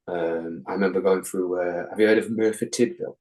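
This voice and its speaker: Deep-voiced Englishman telling a rambling story. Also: steady tone, a little fast, darkish tone. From England. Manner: rambling, swears constantly, many ums and restarts. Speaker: podcast guest. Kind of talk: casual chat, telling an anecdote.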